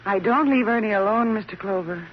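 An actress playing a weary, protective wife in an old radio drama, coaxing and patient yet guarded. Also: female voice, medium pitch, brisk, lightly acted. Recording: muffled, noisy vintage radio recording.

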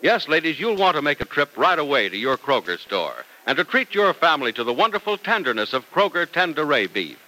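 Male radio announcer, animated intonation, bright forceful tone, scripted vintage broadcast delivery. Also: on the high side, a little fast, hardly any pauses, poor audio. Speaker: radio announcer and advertising pitchman.

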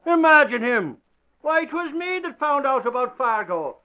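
An actor playing a brash, boastful, folksy newspaper reporter in a blustering old radio drama style. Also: male voice, very high voice, very animated, unhurried, bright, forceful tone, exaggerated voice. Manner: blustering, colloquial. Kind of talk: 1940s radio acting. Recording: dull lo-fi old radio recording.

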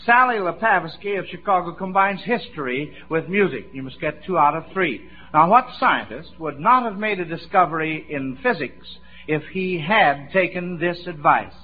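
High-pitched American radio quizmaster, a man. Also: expressive, moderate pace, hardly any pauses, breathy edge. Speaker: quiz show host. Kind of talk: 1940s radio quiz hosting. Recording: muffled vintage radio broadcast recording.

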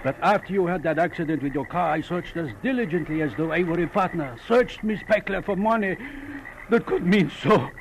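Formal, courteous but sinister gentleman, higher male register, precise wording, slightly noisy vintage radio drama. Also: brisk. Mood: coolly gloating. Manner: formal, precise, deferential phrasing. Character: polite, formal, quietly menacing male property owner. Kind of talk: old-time radio drama acting.

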